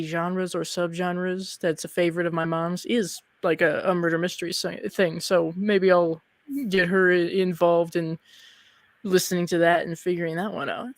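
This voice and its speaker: A woman's voice: on the low side, no room sound. A woman chatting casually as an interviewer, giving her reactions and opinions. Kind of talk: casual chat.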